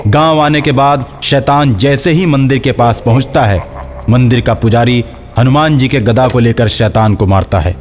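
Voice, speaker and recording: A man tells a scripted tale as narrator. His voice sits at a medium pitch, with soft music playing behind it. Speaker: storyteller. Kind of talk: scripted Hindi story narration. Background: faint music.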